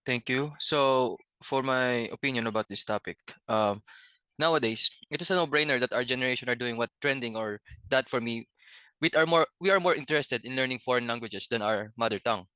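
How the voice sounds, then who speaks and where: A man's voice: medium pitch, brisk, bright, forceful tone, clear, resonant tone, no room sound. A young man with a Filipino accent, moderating a student discussion and reading his prepared opinion with small restarts. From Philippines.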